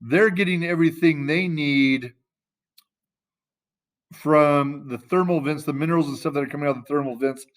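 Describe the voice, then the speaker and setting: Chatty teacher, slightly high voice. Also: male voice. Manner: loose and conversational, searching for words mid-sentence. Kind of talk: casual classroom lesson.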